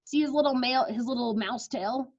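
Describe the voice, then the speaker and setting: A woman with a fairly high voice works as a teacher reading aloud to children. She is expressive and encouraging, and the sound is slightly muffled and lo-fi, like a video class. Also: a little fast. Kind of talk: storybook read-aloud with chat to pupils. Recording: dull lo-fi video call.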